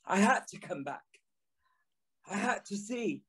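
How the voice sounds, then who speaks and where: A man's voice: sits high, lively intonation, pushed and bright, slightly rough, heavily acted. An actor playing a haunted former journalist turned signalman, grave, anguished and intense in a dramatic audio drama narration. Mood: urgent, pleading. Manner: slow, deliberate, intense.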